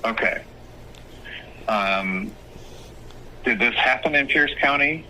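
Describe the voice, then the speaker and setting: A man speaking as an attorney, giving advice on a phone call in a measured, hesitant, explanatory way. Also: mid-range voice, animated, sharp tone. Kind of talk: phone consultation, casual advice. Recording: phone call with faint noise.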